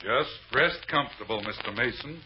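A man's voice: medium pitch, moderate pace, close to an everyday voice. An actor playing a sarcastic, bullying male police sergeant in an old-time radio drama. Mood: threatening. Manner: sarcastic, taunting. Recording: muffled old radio recording, faint noise.

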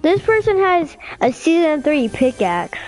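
High excitable gamer voice, swoops all over, chatting casually mid-game. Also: measured pace, soft background music. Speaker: video game player. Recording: noisy game voice chat.

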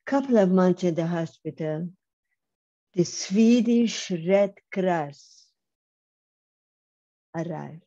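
An older woman giving a measured, emphatic personal testimony over a video call, speaking slowly with pauses. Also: lowish voice, lively intonation, dark tone. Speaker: Holocaust survivor speaker. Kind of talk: slow personal testimony talk. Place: home room on video call. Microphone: webcam mic on video call. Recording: slightly dull lo-fi video call audio.

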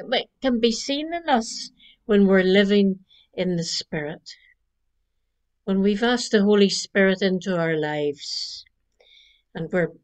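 Older lady minister, slow gentle reflection, recorded on a webcam in her living room. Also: medium pitch. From Northern Ireland. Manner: slow, gentle preaching cadence. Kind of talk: Christmas Eve reflection. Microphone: webcam at desk distance.

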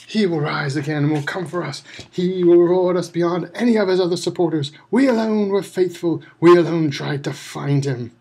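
A man's voice: a little high, normal speed, few pauses, a little mellow, slightly rough, pitched above the performer's own voice. A man voicing a proud, fanatical adult woman prisoner who speaks defiantly.

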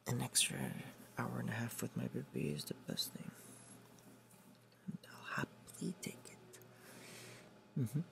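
A man's voice: medium pitch, steady tone, takes it slowly, pauses often. A young man speaking in an affectionate, intimate ASMR whisper, hesitant and casual, like pillow talk. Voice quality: breathy whisper. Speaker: ASMR boyfriend roleplayer. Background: television film dialogue.

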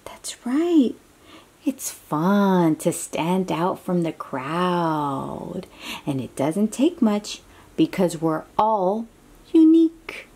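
A woman's voice: fairly low pitch, big pitch swings, very slow, dark tone, husky. A woman reading a picture book aloud to young children in an encouraging, exclaiming way, with chatty asides and questions to the listener.

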